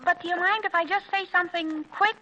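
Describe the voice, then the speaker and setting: Very high, piercing teenage girl. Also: fairly even intonation, quick pace, sharp tone, highs cut off. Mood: eager. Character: eager, gossipy teenage girlfriend, bubbly and insistent. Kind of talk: 1940s radio sitcom acting. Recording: noisy 1940s radio recording.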